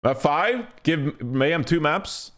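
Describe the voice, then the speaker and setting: A man hosting a casual esports podcast panel, talking in a measured, often hedging way. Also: a little high, expressive, a bit bright.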